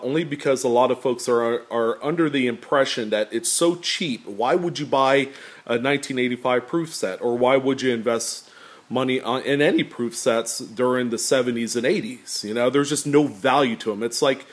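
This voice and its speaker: A man with a deep, slightly nasal voice talking casually to camera about his coin collection, rambling with many fillers. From California. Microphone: camera pointed at table, speaker off screen.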